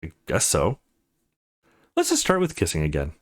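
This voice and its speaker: Man reading as an animated, playfully bossy young guy. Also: low-pitched, normal speed, pitched below the performer's own voice, heavily acted. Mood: laid-back. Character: easygoing young man playing bossy teacher in visual novel.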